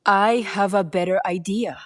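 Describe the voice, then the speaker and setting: A woman playing a poised, cunning, self-assured game character with a measured, unhurried way of talking. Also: medium pitch, steady tone, natural voice. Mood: cool, bargaining. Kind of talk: video game cutscene acting.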